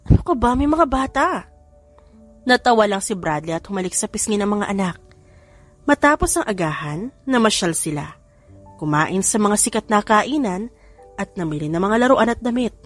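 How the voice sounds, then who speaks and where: A woman's voice: medium pitch, expressive, moderate pace. A woman with a Filipino accent narrating a scripted story as an audiobook reader. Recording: background music under the voice.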